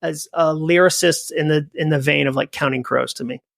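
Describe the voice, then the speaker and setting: Guy from new england in his 40s, gravelly voice, rambly animated stories, dry close mic. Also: on the high side, brisk, no room sound. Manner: rambling, animated storytelling with many fillers. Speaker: podcast guest. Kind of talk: casual conversation.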